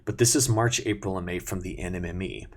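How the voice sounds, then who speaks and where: A man's voice: sits low, brisk. A man presenting an unscripted weather briefing in a conversational, self-correcting way, talking viewers through maps as a forecaster. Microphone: new sensitive close mic at a desk.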